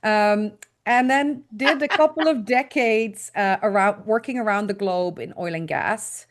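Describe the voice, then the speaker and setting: A woman's voice: mid-range voice, measured pace. A middle-aged woman from the Netherlands with a Dutch accent, a guest consultant talking casually and thoughtfully, with frequent ums, over a video call. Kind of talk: casual interview talk.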